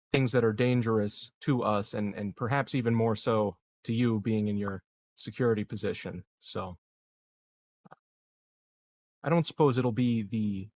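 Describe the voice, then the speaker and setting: Man playing a measured, evasive colonial leader. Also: mid-range voice, close to an everyday voice. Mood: evasive, diplomatic. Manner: formal, measured, halting diplomatic phrasing. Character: calculating, evasive male colonial leader, smooth politician. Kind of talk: improvised tabletop roleplay dialogue.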